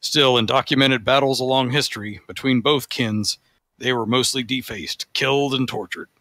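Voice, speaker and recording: A man's voice: mid-range voice, fairly even intonation, normal speed, sharp tone, no echo, clean studio sound, natural voice. A man voicing a haughty, pedantic vampire forum poster, reading formally and pompously. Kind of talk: dramatic reading of forum posts.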